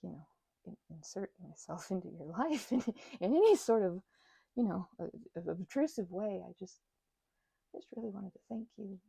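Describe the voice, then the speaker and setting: An actress playing a shy, grateful female vampire in an intimate audio roleplay, stammering and halting, often sinking into a nervous whisper. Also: mid-range voice, animated, gravelly, mellow, breathy edge, no room sound, exaggerated voice, poor audio. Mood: nervous, hopeful.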